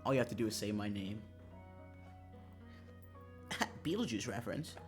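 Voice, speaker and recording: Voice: medium pitch, expressive, normal speed, soft background music. A streamer chatting casually on a livestream in a joking, self-mocking, dramatic way, swearing freely.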